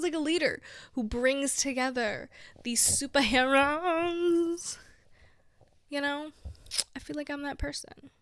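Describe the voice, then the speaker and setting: A young woman in her twenties talks loosely and warmly to her viewers, her voice sitting slightly high. She giggles between thoughts and fills her sentences with "like" and "you know." The recording is dry and close, with no room sound. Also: no room sound. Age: 20s. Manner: chatty, giggly, many "like"s. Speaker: vlogger. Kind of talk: casual chat to camera.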